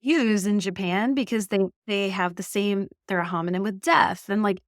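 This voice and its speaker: A middle-aged woman from Canada, a marketing executive telling stories in a podcast interview, animated and laughing often. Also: mid-range voice, moderate pace, dry recording, studio quality.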